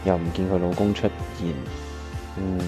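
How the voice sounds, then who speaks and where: A male actor plays an earnest young pianist with a Hong Kong accent and a fairly low voice. He is caring and thoughtful, telling his story and talking as in a radio drama. Music plays clearly behind him. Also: little pitch movement, normal speed, natural voice. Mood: reflective narration. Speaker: voice actor narrating and playing the lead. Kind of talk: Cantonese radio drama narration and dialogue.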